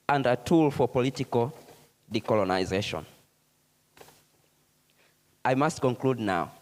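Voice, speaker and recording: A man reading a formal, measured academic keynote lecture. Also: medium pitch, a little mellow. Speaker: keynote speaker, professor. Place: conference hall. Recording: hall with long reverb.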